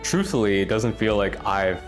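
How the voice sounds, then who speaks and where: Young canadian guy, lowish voice, thoughtful testimonial, soft guitar music behind. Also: expressive, moderate pace. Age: young adult. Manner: reflective, earnest. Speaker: workshop participant. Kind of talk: testimonial interview.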